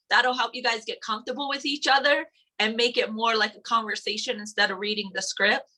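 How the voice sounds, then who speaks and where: Female coach, crisp bright tone, casual practical advice, video-call setting. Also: medium pitch, poor audio.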